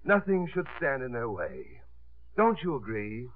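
An actor playing an earnest, easily flustered middle-aged suitor in an ardent 1950s radio comedy-drama style. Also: male voice, medium pitch, very animated, unhurried. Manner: ardent, swooping, stammering when flustered. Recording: narrow-band 1950s radio recording.

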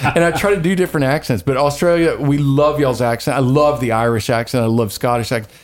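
Podcast co-host, male, mid pitch, faint Southern twang, earnest and rambling. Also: fairly even intonation, fast talker. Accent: light Southern American. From American South. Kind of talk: casual chat and spoken prayer.

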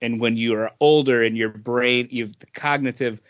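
A man's voice: medium pitch, fairly even intonation, moderate pace. A man co-hosting a podcast, giving his opinions in casual conversation. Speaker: podcast co-host. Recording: dull, lo-fi podcast audio.

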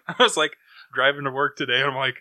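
A man's voice: mid-range voice, a little fast, pushed and bright, no echo. A man co-hosting a podcast in casual, joking chat. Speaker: podcast co-host.

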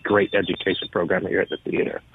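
A man's voice: deep voice, flat delivery, fast talker, vocal fry, breathy edge, no room sound. A man from the US Virgin Islands, a theater education director, giving earnest answers as a radio interview guest. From US Virgin Islands (Saint Thomas). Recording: narrow phone-like radio line.

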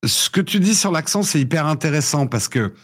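A man's voice: on the high side, fairly quick, slightly rough. Chatty man, American-born, telling his story. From United States. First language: English. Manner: animated storytelling with frequent restarts. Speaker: guest. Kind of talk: casual interview chat.

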